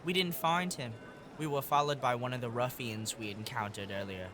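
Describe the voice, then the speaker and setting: A man's voice: medium pitch, normal speed, background noise, lightly acted. An actor playing an earnest, driven street boy in an audio drama, speaking with urgent insistence. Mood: brisk, friendly. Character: Wiggins, earnest, driven street boy leading young irregulars.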